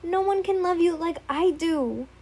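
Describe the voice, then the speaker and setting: A woman's voice: very high voice, measured pace, a little mellow, pitched above the performer's own voice. A woman voicing an obsessive, tearful young man who pleads in an emotional way. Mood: pleading.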